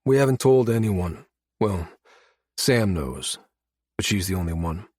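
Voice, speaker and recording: A man's voice: deep voice, lively intonation, a little slow, darkish tone, dry recording, clean studio sound. A man as a weary, guarded starship pilot. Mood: weary confession. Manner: exhausted, candid, plain-spoken. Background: music behind the voice.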